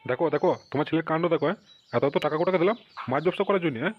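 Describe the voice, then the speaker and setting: A man playing a gruff, excitable middle-aged village father in a comic cartoon, exclaiming in slangy speech. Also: fairly high pitch, rapid-fire, close to an everyday voice. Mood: outraged. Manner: exclaiming, slangy, tag questions. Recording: dull lo-fi audio with some noise.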